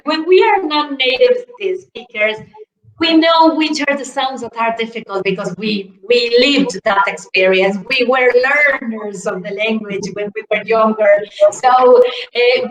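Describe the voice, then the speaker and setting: A woman's voice: on the high side, roomy sound, poor audio. A woman from Mexico who is not an English speaker, an English teacher explaining things at an online conference with fillers and repeated words, heard over a video call.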